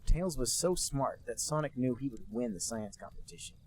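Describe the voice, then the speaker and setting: A man co-hosting a comedy podcast, reading a bad fan story aloud in a deadpan voice and adding mocking asides. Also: mid-range voice, moderate pace, a little hiss or hum.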